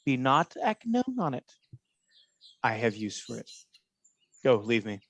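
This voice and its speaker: A man playing a scheming, falsely honest soldier in a Shakespearean radio drama, speaking in a measured, insinuating manner. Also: mid-range voice, big pitch swings, no room sound. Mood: curt, gloating. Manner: insinuating, measured, feigned reluctance.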